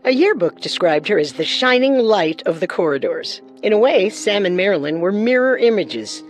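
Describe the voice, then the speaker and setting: A woman's voice: mid-range voice, animated, moderate pace, soft background music. A woman hosting a podcast with scripted true-crime narration. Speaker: podcast co-host.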